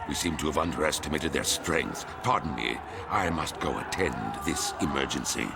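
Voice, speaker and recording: An actor playing an imperious, impatient middle-aged lord in a video game, haughty and theatrical, with a raspy voice. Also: male voice, a bass voice, normal speed, in a large hall, over loud music, a loud crowd. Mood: cold, tense. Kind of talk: dramatic video game voice acting.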